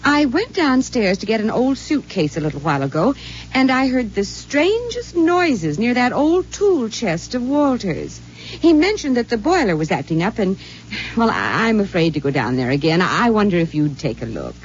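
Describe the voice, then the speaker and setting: An actress playing a friendly housewife with a breezy manner in a 1950s radio drama. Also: female voice, mid-range voice, very animated, moderate pace, a little hiss or hum, natural voice. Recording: dull old radio broadcast recording.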